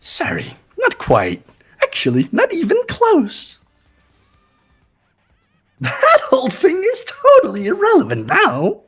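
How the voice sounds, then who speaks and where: A man's voice: extremely high pitch, huge pitch swings, slow pace, long pauses, a bit bright, faint music behind, full character voice. A man voicing a gleefully taunting villainous toy bear mascot in a fan-voiced game, mocking and theatrical. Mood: mocking glee. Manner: mocking, theatrical, sing-song.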